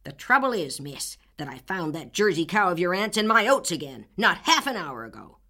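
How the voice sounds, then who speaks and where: A woman voicing an irate old farmer, blustering, loud, spluttering. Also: fairly low pitch, big pitch swings, normal speed, exaggerated voice. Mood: angry.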